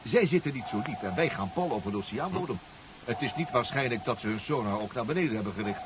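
Actor as confident professor, animated, muffled noisy recording. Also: male voice, mid-range voice, fast, close to an everyday voice. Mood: assured. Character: confident, reassuring male professor and submarine inventor. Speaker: actor in a radio drama. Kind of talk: 1970s radio drama acting. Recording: muffled, noisy old 1970s radio recording.

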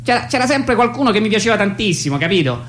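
A woman's voice: mid-range voice, big pitch swings, fast, slight background noise, close to an everyday voice. An actress playing an effusive, hesitant young woman in a comic radio phone dialogue. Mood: elated, gushing. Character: gushing young woman tenderly declaring non-love.

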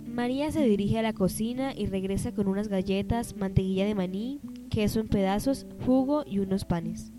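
A woman's voice: medium pitch, moderate pace, few pauses, over music. A woman reading scripted narration for a radio drama.

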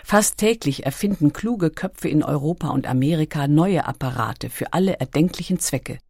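A woman's voice: deep voice, animated, moderate pace, gravelly, a little mellow, breathy edge, no echo, studio quality. A woman reading scripted documentary narration as the narrator.

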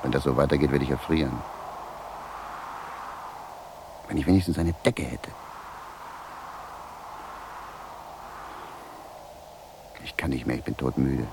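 An actor playing a weary, freezing wanderer who muses theatrically to himself in a radio drama. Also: male voice, extremely low pitch, very fast. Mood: weary, cold. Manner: theatrical musing, talking to himself. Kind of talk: German radio drama acting, soliloquy. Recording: noisy 1970s radio drama recording.

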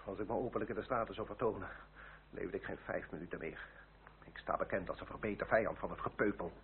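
An actor in a radio drama playing a bitter, haughty French marquis, formal and sardonic. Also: male voice, lowish voice, fast, close to an everyday voice. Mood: grim, sardonic. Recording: muffled old radio play with faint hiss.

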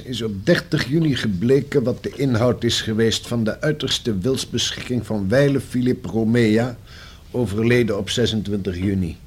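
Give this voice to a discreet, pedantic male notary in a radio play. His pitch is average for a man, and the tone is a little husky. He sets out legal matters in long, careful, formal sentences. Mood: formal, measured. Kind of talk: Dutch radio play acting.

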